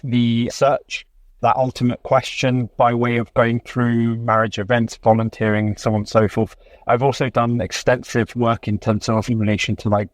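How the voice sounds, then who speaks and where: A man's voice: fairly low pitch, no room sound. A man in his forties from the UK answers as a podcast guest in a casual, hedging way full of fillers. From United Kingdom. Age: 40s.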